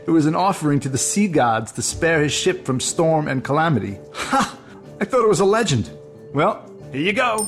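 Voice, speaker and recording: A man's voice: on the high side, big pitch swings, moderate pace. An actor playing a wry, down-on-his-luck sailor in an audio drama, with an American accent and a casual, slightly hesitant way of talking. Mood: pleased. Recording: music under the dialogue.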